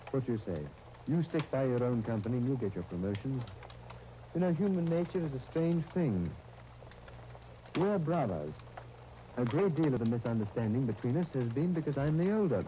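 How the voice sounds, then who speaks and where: An actor in a 1930s radio drama playing a captain, an earnest, measured older brother, on a noisy old broadcast disc. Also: male voice, medium pitch, sing-song, warm tone, natural voice. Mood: earnest, reasoning. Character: British army captain, dutiful reluctant older brother.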